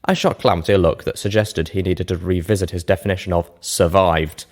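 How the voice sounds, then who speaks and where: A man narrating a first-person fantasy story from a script, measured and expressive. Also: low-pitched. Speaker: narrator of a fan fiction reading show.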